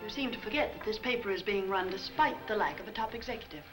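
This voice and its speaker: An actress playing a tart, efficient newspaper secretary with a dry, sarcastic manner in 1940s serial style. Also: female voice, medium pitch, expressive, fast, close to an everyday voice. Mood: wry, businesslike. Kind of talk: 1940s film serial acting. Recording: old film soundtrack with music behind.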